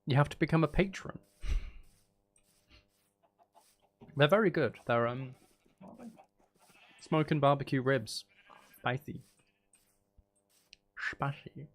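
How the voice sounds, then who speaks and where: A man's voice: medium pitch, drawn-out words, pauses often. A man chatting casually on a gaming livestream, thinking aloud as he builds and bantering playfully with viewers, with some mild swearing. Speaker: livestream host.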